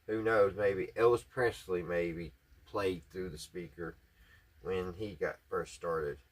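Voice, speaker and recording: A man's voice: sits low, steady tone, slow pace. A man talks through hands-on repair work. His delivery is casual and folksy, like a hobbyist vlogger. He often muses aloud to himself while addressing his viewers.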